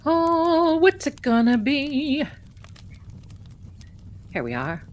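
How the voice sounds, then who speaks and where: A woman's voice: high-pitched, sing-song, very slow. Chatty woman live-streaming. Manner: chatty and rambling, calls viewers "my darlings". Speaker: tarot reader hosting a live stream. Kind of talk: casual live-stream chat.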